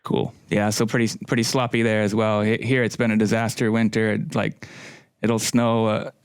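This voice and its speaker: A man's voice: fairly low pitch, level tone, moderate pace, smooth, no room sound, clean studio sound. A man from Finland hosting an interview, chatty and friendly. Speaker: podcast host.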